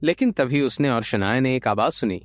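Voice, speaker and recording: Male narrator for a serial audio drama: mid-pitched, highly expressive, dramatic, soft music bed. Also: moderate pace, no room sound. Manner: expressive, suspense-building. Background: light background music.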